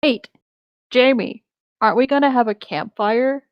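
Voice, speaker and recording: A woman acts out a needy male camper from a read-aloud story, whining and pleading. The voice sits fairly high, with a close, dry sound. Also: measured pace, smooth, dry recording, highs cut off, natural voice. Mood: whiny, pleading.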